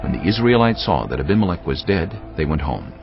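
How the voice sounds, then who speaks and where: Male narrator, very low creaky voice, solemn animated scripture storytelling, music underneath, slightly dull sound. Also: fairly quick.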